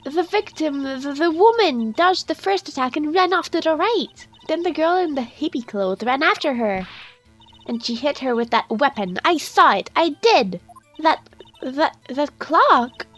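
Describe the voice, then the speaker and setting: A coquettish young woman on the witness stand in a courtroom game. Her voice sits high and she turns stammery and flustered easily. Music plays underneath. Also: lively intonation, measured pace, a bit bright. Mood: insistent. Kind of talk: visual novel game fandub.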